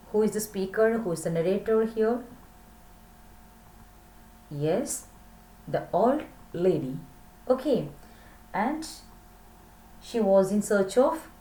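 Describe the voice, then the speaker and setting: Lively Indian woman teacher, echoey room. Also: mid-range voice. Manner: didactic, repeats phrases, questioning the pupils. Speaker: English teacher. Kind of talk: recorded lesson for children. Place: small plain room indoors. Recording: roomy echo, clear background noise.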